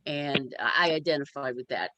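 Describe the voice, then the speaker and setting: A woman's voice: a bass voice, moderate pace, bright, forceful tone, dry recording. A woman sharing personal thoughts casually on a video call, plain-spoken and sure of herself.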